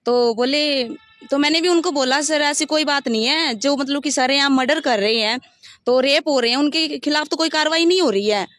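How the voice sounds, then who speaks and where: A woman's voice: sits high, normal speed, a bit bright, dry recording, studio quality. A woman giving a detailed, step-by-step personal account in an interview. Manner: rambling, detailed step-by-step recounting. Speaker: interviewee recounting her complaint.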